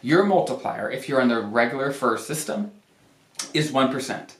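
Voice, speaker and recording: A man with a medium-pitched voice delivers an informal explainer to viewers. He stresses points by repeating words. The recording is clearly roomy, with echo around the voice. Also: lively intonation, moderate pace, a bit bright. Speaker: financial planner hosting an explainer video. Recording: roomy, echoey sound.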